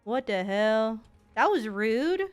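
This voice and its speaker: A woman in her thirties chats casually as she streams a game. Her voice is on the high side, her talk playful, slangy and sweary, with faint music behind. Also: very animated, slow, clear, resonant tone. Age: 30s. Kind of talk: casual gaming stream commentary.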